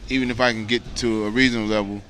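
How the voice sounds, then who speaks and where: An American man in his late thirties with a fairly low voice. He talks like a boxer giving a casual interview, earnest and rambling, padding his thoughts with "you know.". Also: fairly even intonation, rapid-fire, a bit bright. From United States. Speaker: professional boxer, interview guest.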